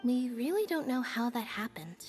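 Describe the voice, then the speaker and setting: A woman voicing a reserved young female magician's assistant in a video game, calm and matter-of-fact. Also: on the high side, normal speed. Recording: reverberant hall with music behind.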